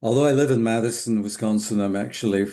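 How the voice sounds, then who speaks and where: An older man from the west coast of Scotland with a Scottish accent, telling his story as a podcast guest in an unhurried, reflective way. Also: lowish voice, dark tone. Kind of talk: casual interview talk.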